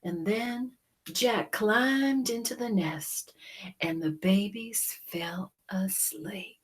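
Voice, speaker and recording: A woman reading a children's story aloud in a dramatic, deliberate way, with hushed moments. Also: medium pitch, breathy edge. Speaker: storybook reader.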